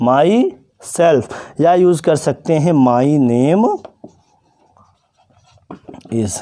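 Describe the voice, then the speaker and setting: A male teacher giving a classroom lesson to children, asking questions and answering them himself, and slowly spelling out example phrases. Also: high-pitched, mellow. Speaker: English teacher.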